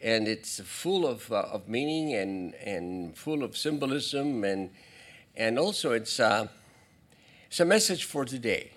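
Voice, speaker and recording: A male pastor preaching a sermon in a church, talking in a halting way with restarts and asides to the congregation. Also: mid-range voice, fairly bright tone. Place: church sanctuary.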